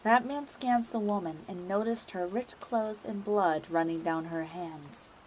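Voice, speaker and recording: A woman's voice: mid-range voice, unhurried, darkish tone, slight background noise, low-quality recording. A woman reading scripted narration for a dramatic audio story.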